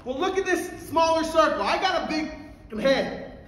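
A man in his thirties teaching a wrestling clinic from a stage in a big hall, brash and energetic, firing rhetorical questions and repeating cues while demonstrating moves. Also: very high voice, a little slow. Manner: moving and grappling while talking. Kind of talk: live coaching demonstration. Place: stage in a large indoor convention hall. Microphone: far camera across the room. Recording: very echoey hall, voice from afar.

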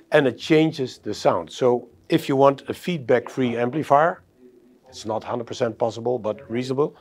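Male, middle-aged, medium-pitched technical presenter explaining equipment at a trade show demo. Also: a little mellow. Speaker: audio company presenter. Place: exhibition demo room, indoors.

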